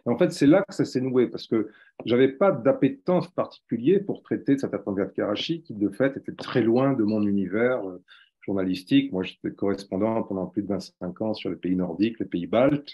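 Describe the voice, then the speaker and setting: A middle-aged or older man from France tells anecdotes as a guest writer, halting and dryly humorous. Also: lowish voice, lively intonation, mellow, slightly rough. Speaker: journalist and novelist guest. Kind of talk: anecdotal explanation in a webinar. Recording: dull lo-fi webinar audio.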